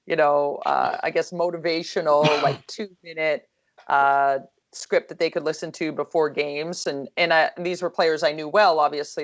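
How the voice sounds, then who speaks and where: A woman hockey coach chatting casually on a video call, with a calm, soothing voice and lots of fillers and restarts. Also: deep voice.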